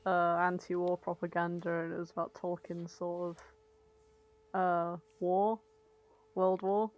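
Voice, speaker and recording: A woman's voice: lowish voice, flat delivery, measured pace, dull audio. A woman co-hosting a podcast with casual, comedic banter. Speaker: podcast co-host.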